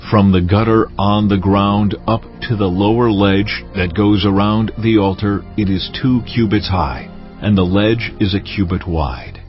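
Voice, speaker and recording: An actor playing God in a dramatized scripture reading, solemn, deliberate and commanding as he instructs his prophet. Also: fairly low pitch, close to an everyday voice. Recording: dull sound over music bed.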